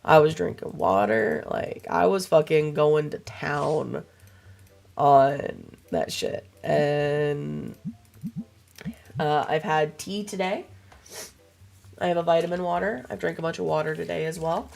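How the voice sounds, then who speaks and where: A young woman streaming a game, chatting casually to her viewers with a voice still hoarse and froggy from a cold, rambling and swearing freely. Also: sits low, slow pace, a little mellow. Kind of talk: casual livestream chat while gaming.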